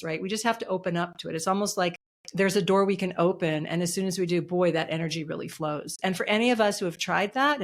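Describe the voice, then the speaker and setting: A middle-aged woman being interviewed as an author and coach, speaking earnestly and emphatically, repeating her key phrases. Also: fairly low pitch. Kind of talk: podcast interview.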